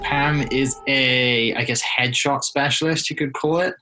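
A man hosting a podcast, asking relaxed questions with plenty of fillers. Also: mid-range voice, unhurried, slight background noise, poor audio. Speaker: podcast host. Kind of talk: casual interview hosting.

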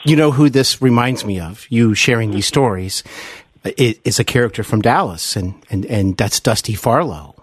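Middle-aged American male podcast host, lively pitch, effusive, slightly halting, dry sound. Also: slightly rough, no echo. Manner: effusive, halting with repeated words. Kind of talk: conversational interview.

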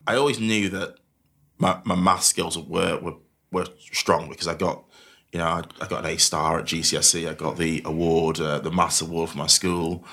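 A man's voice: sits low, fairly even intonation, moderate pace, fairly bright tone. A man with a diluted Mancunian accent, telling his life story as an interview guest and often repeating and rephrasing his words. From Manchester, England. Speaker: podcast guest, investment professional. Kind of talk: candid conversational interview, telling life stories.

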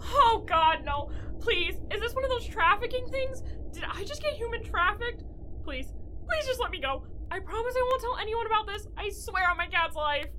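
A woman's voice: extremely high pitch, big pitch swings, moderate pace, bright, forceful tone, heavily acted. An actress playing a panicked, pleading young woman in an audio drama, breathless and frantic. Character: panicked young woman who believes she's been kidnapped. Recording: noisy amateur recording with background ambience.

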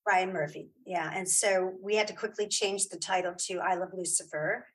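A woman's voice: lowish voice, steady tone, normal speed, husky, rough recording. A woman speaking as a guest in a casual interview, chatty and informal, often restarting her sentences. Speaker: interview guest, audio drama creator.

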